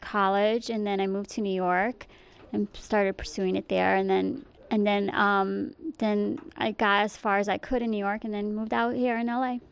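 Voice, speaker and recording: A woman's voice: medium pitch, level tone, normal speed, gravelly, smooth. A woman from Connecticut, an actress, answering interview questions on set in brief, easygoing replies. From born in Portugal, raised in Connecticut.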